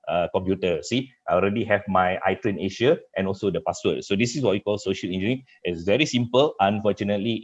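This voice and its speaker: Dull, muffled webinar audio carries a deep-voiced man with a Malaysian accent, a native Malay speaker. He is a trainer walking through a live software demonstration. His delivery is halting, padded with repeated filler phrases. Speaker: cybersecurity trainer. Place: online webinar with screen share.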